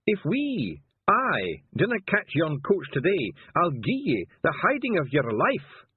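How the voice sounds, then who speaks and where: A man voicing a pushy, rich male passenger who speaks broad Scots in a gruff, bossy way, with a husky voice. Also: high-pitched, big pitch swings, normal speed, no room sound, pitched above the performer's own voice, exaggerated voice. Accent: Scottish. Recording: dry tinny phone-like sound.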